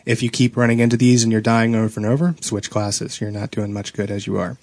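A man's voice: fairly low pitch, level tone, fast talker, a little mellow, no room sound. A man co-hosting a casual gaming chat, with a playful, teasing way of talking. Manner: playful teasing, joking with co-hosts. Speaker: podcast co-host.

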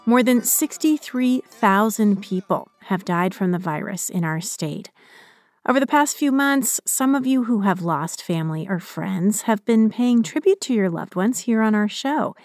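A woman's voice: medium pitch, expressive, measured pace, a little mellow, no room sound, studio quality. A woman hosting a radio news show, reading scripted narration. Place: dry broadcast studio.

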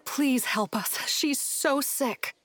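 A woman's voice: sits high, takes it slowly, a bit bright, a little hiss or hum. A woman playing a frightened, pleading mother of a sick little girl in an audiobook drama. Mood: panicked. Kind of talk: full-cast audiobook acting.

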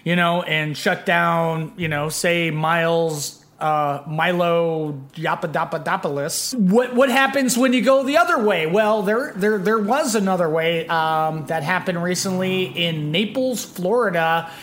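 A man's voice: high-pitched, unhurried, hardly any pauses, fairly bright tone, slight background noise. A man hosting a casual podcast chat, meandering with many fillers. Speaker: podcast host.

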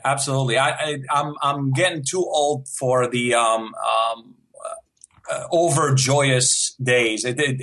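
Syrian guy, arabic native speaker, humble and giggly in an interview, lots of restarts, middle pitch. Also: a bit bright. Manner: modest, laughs often, stammering restarts. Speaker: guest animator. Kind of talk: casual interview.

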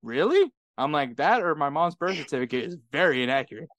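A man's voice: on the high side, a little fast, no echo. A man with an American accent, co-hosting a podcast and telling stories in a casual chat, laughing often. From United States. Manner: laughing often, animated storytelling. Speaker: podcast co-host.

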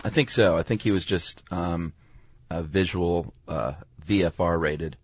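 A man's voice: low voice, a little slow, no room sound. A man with an American accent co-hosting a podcast in casual, laid-back, playful conversation. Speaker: podcast co-host.

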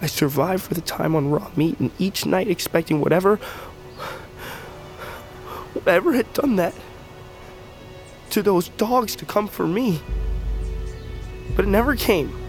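An actor playing a gravely wounded, fatalistic blind tribesman in an audio drama, speaking weakly and haltingly as he reflects. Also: high-pitched. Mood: haunted. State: wounded, weak, halting breath. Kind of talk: audio fiction drama acting. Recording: music bed under the dialogue.

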